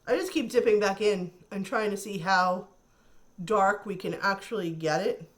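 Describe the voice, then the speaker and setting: A woman's voice: medium pitch, normal speed. A woman chatting casually through a makeup tutorial, thinking aloud and admiring the colors, her voice a little nasal from a cold. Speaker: beauty vlogger.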